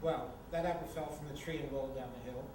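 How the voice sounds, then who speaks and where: A man's voice: fairly high pitch, fairly even intonation, fast talker, lightly acted. A man acting a wry, needling, unbothered housemate in a comedic staged reading. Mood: sardonic. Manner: sardonic, needling. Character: wry, teasing, unbothered male housemate, messier one. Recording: distant, very echoey room, noisy ambience.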